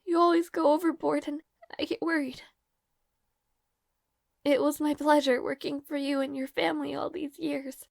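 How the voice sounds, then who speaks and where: A woman playing a devoted, lovelorn young maid in an intimate fantasy audio roleplay, speaking formally and haltingly, tearful and earnest, with soft breathy moments. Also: high voice, little pitch movement, dry recording, studio quality. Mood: sorrowful farewell.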